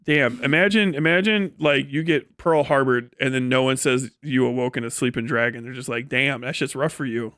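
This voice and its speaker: Clear-voiced, foul-mouthed man streaming commentary. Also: medium pitch, moderate pace, hardly any pauses, fairly bright tone, dry recording, clean studio sound. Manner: profane, blunt and dismissive; sardonic. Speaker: live streamer. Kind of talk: off-the-cuff political commentary on a livestream.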